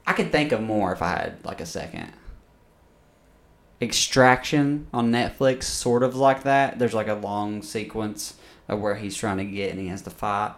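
A man's voice: on the low side, normal speed, fairly bright tone, echoey room. A man in his thirties co-hosting a podcast, rambling and swearing casually, laughing often. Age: 30s. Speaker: podcast co-host. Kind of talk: crude casual chat.

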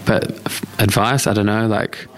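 A man's voice: lowish voice, fairly even intonation, moderate pace, a bit bright. A young man with a New Zealand accent giving casual, reflective answers as an interview guest, in an informal and hedging way. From Australia and New Zealand. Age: young adult. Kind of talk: casual chat.

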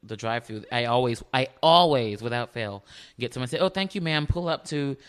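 A man with an unusually high speaking voice talks in a relaxed interview. His tone is smooth and clear, and he speaks earnestly and warmly, often restarting a sentence as he goes. He sounds sincere, and his pitch sits at an average level for a man. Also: a little fast. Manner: earnest, warm, with frequent restarts. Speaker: countertenor singer, guest. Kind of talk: casual interview chat.

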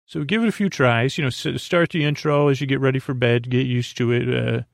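Gravelly, meandering man hosting a bedtime podcast. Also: mid-range voice, no room sound, studio quality. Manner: hesitant, meandering, halting with repeated false starts; whimsical, absurd musing. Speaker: podcast host. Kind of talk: rambling improvised bedtime podcast monologue.

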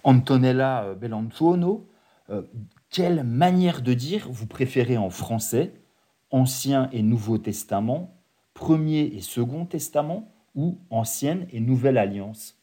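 Husky man asking formal questions. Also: mid-range voice, lively intonation, a little slow, mellow. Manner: polite, formal. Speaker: interviewer.

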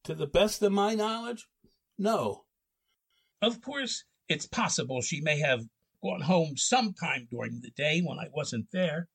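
A man playing a flustered, courteous husband under questioning, halting and defensive, in 1940s radio drama style. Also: fairly high pitch, lively intonation, fairly bright tone, breathy edge, exaggerated voice.

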